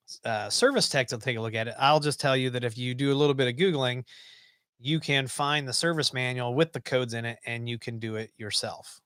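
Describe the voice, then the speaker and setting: A man's voice: medium pitch, no room sound, clean studio sound. Rambling man on a home-office livestream. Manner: relaxed, rambling, many ums and restarts. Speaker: livestream host. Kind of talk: casual live-stream chat reading viewer comments. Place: small home office, indoors.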